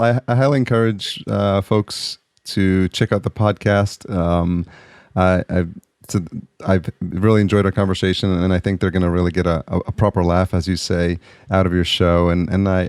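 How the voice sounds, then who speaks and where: A man hosting a podcast interview, friendly and halting, often restarting his sentences. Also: sits low, flat delivery, darkish tone, no room sound. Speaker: podcast host. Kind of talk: casual interview chat.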